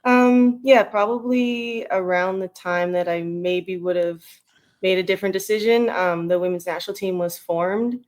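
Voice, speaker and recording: A woman's voice: medium pitch, fairly even intonation, normal speed, a little mellow. A woman in her thirties with a western Canadian accent, speaking as a guest in a casual interview in an informal, rambling way. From Edmonton, Canada. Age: 30s. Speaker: interview guest, retired national team baseball player.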